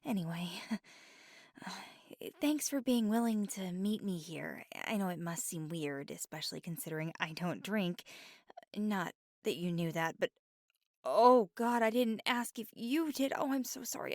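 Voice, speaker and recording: A woman's voice: medium pitch, no echo. Girl voicing a jittery apologetic water wielder, stumbles over words, whispers sorry, dry close sound. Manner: stammering, apologetic, flustered; drops into soft whispered asides. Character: nervous, apologetic young woman with water powers. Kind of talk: ASMR roleplay.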